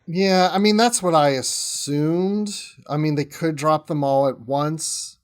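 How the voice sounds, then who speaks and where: A man's voice: fairly high pitch, slow pace, smooth. A man chatting casually as a guest on a podcast.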